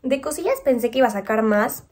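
A woman's voice: on the high side, lively intonation, quick pace, darkish tone, slight background noise. A young woman in her twenties with a Mexican Spanish accent, chatting casually to her phone camera as she prices and shows clothes. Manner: chatty, pricing and showing clothes. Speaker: vlogger. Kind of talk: casual vlog talk to camera. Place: bedroom at home, then outdoor bazaar. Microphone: handheld phone camera.

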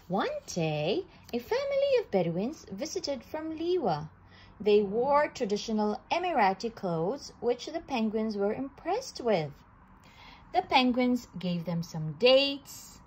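A woman reads a children's picture book aloud at a table indoors. Her fairly high voice swings widely in pitch. She takes her time over every line, with a faint hiss behind her. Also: poor audio. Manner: slow, animated storytelling with occasional restarts. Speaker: storybook reader.

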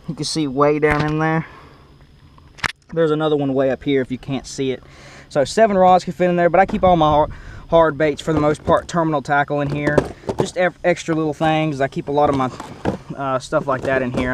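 A man's voice: on the high side, a little hiss or hum. A man hosting a casual, unscripted video tour, talking into a head-worn action camera outdoors. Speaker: host of a fishing boat tour video. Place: outdoors in a parking lot beside a lake.

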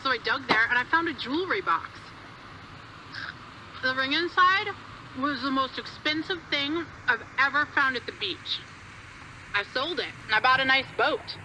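An actress playing an airy, offhand beachcomber calling in to a radio show in a video game, smug and callous. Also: female voice, high-pitched, lively intonation, moderate pace, bright, forceful tone, a little hiss or hum, heavily acted, rough recording. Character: spacey, callous female beachcomber caller. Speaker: call-in listener.

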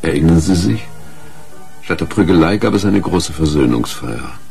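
An actor playing a patient but insistent doctor in a radio crime drama, polite, probing, with a gravelly, husky voice. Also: male voice, low-pitched, animated, moderate pace, a little mellow, soft background music. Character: patient, insistent male doctor questioning a wife.

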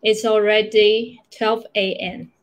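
Slow-paced Taiwanese woman guest. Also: medium pitch, level tone, a little hiss or hum. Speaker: interview guest. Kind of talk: casual interview answers. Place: small room, indoors. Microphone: video call.

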